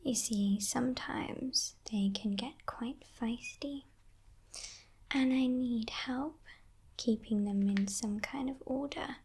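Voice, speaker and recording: Slow whispering demon woman, acted out by a woman. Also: a little high, exaggerated voice. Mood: coaxing, smug. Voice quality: breathy, mostly whispered. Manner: slow, teasing, condescending, softly threatening. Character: sly female demon kidnapper, haughty, coaxing, menacing. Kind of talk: whispered ASMR roleplay.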